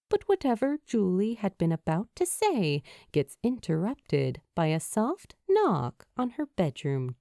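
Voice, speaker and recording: A woman giving expressive, dramatic scripted narration as an audiobook reader of fan fiction. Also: mid-range voice, creaky, no room sound, clean studio sound.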